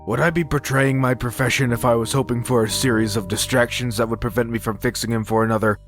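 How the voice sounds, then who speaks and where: A man's voice: medium pitch, steady tone, quick pace, close to an everyday voice. A man playing a grumpy, sarcastic robot ship's doctor with a dry delivery in an audio drama. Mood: grumbling, sarcastic. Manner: dry, sardonic. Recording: music under the voice.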